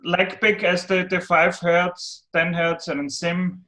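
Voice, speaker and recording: A man's voice: high-pitched, flat delivery, slow, bright, forceful tone. A man from Austria on a video call, hesitant and searching for his words. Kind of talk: casual video-call chat.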